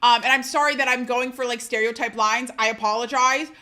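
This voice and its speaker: A woman's voice: fairly high pitch, steady tone, fairly quick, bright, forceful tone. A woman livestreamer chatting casually with her audience, chatty and self-deprecating, often laughing and reading chat aloud.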